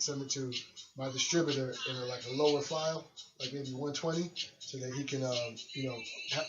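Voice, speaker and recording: A man's voice: mid-range voice, noisy background. A man hosting and DJing a radio show, talking in a chatty, friendly, loose way. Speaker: radio host and DJ. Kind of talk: casual radio show talk. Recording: dull lo-fi recording.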